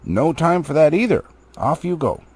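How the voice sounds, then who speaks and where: Male reader as storybook old wizard: brusque, commanding, husky, with lively swings in pitch. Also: normal speed, full character voice. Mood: brisk, insistent. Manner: brusque, urging.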